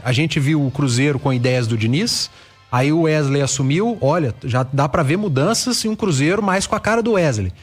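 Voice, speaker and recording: A male sports radio pundit with a fairly high voice, talking fast and breaking off to restart his phrases. Faint music plays underneath. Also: slightly rough. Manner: animated, restarts mid-phrase.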